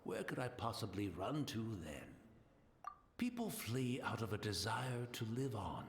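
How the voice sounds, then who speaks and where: An actor playing a gentle, courteous blind old man who keeps a tea house, rambling in an old-fashioned way in a game cutscene. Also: mid-range voice, very animated, measured pace, creaky, echoey room, a little hiss or hum. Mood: wistful. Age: older. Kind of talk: video game cutscene voice acting.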